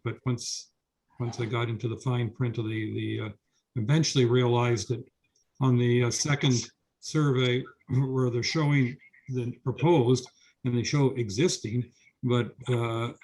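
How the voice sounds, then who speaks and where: A man on a committee weighs in on an application, stopping and restarting as he works through his point. His voice is plain and middle-pitched. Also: a little mellow, poor audio. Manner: hesitant, many restarts. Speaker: committee member. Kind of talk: meeting comments.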